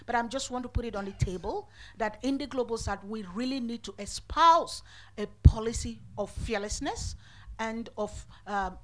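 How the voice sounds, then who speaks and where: A woman giving emphatic, rhetorical remarks at a conference. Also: a little high. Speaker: conference speaker.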